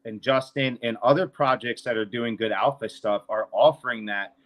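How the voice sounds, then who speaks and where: A man's voice: medium pitch, steady tone, normal speed, a bit bright. Man, 30s, mid-range voice. Unscripted, emphatic talk with plenty of swearing and self-promotion. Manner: emphatic, profane, boastful. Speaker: financial consultant and podcast speaker.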